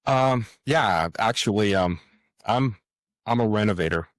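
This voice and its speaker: A sixty-year-old man with a Canadian accent, answering interview questions casually in a halting, thinking-aloud way. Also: lowish voice, no room sound, clean studio sound. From Toronto, Canada. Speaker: podcast guest, author.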